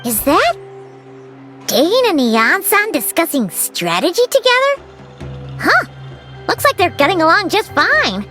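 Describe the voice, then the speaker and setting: A woman voicing a small, perky, chatty fairy girl companion in a video game, with a squeaky childlike voice and exclamatory manner. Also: sing-song, measured pace, long pauses, bright, forceful tone, soft background music, full character voice. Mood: curious. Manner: exclamatory, speaks of herself in third person. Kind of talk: animated video game character acting.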